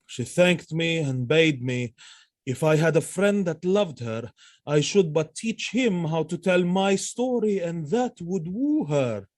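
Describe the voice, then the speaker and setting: An actor playing a proud, ardent general in love, stately and fervent, in a Shakespearean audio drama. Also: male voice, fairly high pitch, animated, slow, a little mellow, no room sound, clean studio sound. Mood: tender, proud.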